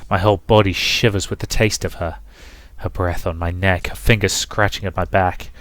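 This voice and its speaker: A low, close, dry voice for a seductive incubus, acted out by a man. He is ravenous and obsessive, lingering on words in a hushed, gloating way, as in a dark visual novel. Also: fairly even intonation, normal speed, dry recording. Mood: ravenous, savouring. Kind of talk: dramatic visual novel reading.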